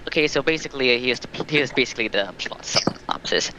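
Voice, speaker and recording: A man chatting casually as a livestream guest, explaining things in a halting, rambling way. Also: mid-range voice. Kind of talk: casual chat, recounting a plot. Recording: dull lo-fi call, faint noise.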